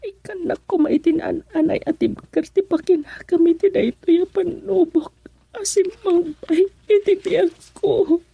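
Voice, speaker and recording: An actress playing a heartbroken, tearful young daughter with an Ilocano accent in a radio drama. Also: female voice, extremely high pitch, gravelly, dry recording, muffled recording, heavily acted. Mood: tearful, pleading. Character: heartbroken jilted young daughter, grieving and pleading. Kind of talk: Filipino radio drama acting.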